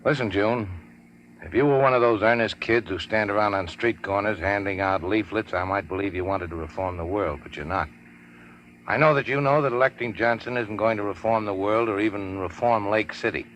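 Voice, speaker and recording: A man's voice: fairly low pitch, brisk, a bit bright, natural voice. An actor playing a hard-boiled, cynical henchman in a radio crime drama, with clipped, tough, sardonic delivery. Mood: cynical, pressing. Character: hard-boiled cynical male racketeer's henchman, curt and controlling. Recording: muffled, noisy old radio recording.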